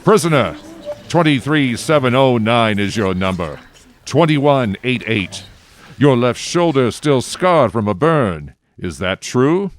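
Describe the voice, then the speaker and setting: An actor playing a stern, authoritative presiding judge in a radio drama, with measured delivery. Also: male voice, medium pitch, big pitch swings, a little hiss or hum. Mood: commanding.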